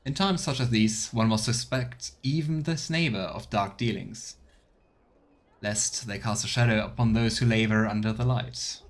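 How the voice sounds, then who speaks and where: A man's voice: on the low side, normal speed. A man streaming a fantasy role-playing game, reading text aloud and chatting in a playful, self-questioning way.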